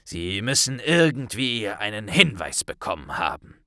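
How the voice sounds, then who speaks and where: A man voicing a grave, authoritative middle-aged wizard official with a gruff, deliberate delivery in an audiobook. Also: lowish voice, sing-song, pushed and bright, no echo, clean studio sound, full character voice.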